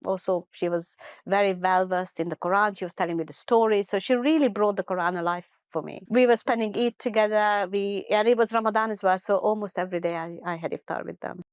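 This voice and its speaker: A woman's voice: medium pitch, fairly even intonation, brisk, few pauses, clean tone, no echo. Middle-aged woman telling her story in an interview, restarts a lot, dry close sound. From Hungary. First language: Hungarian. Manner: reflective, with frequent restarts and self-corrections. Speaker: interview guest. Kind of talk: personal life story told in conversation.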